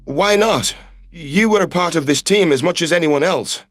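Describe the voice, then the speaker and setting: A man's voice: on the high side, normal speed, a bit bright, no room sound, pitched below the performer's own voice. A man voicing a devoted, reassuring human astronaut in love in an audio story. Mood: inviting. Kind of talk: audio story character dialogue.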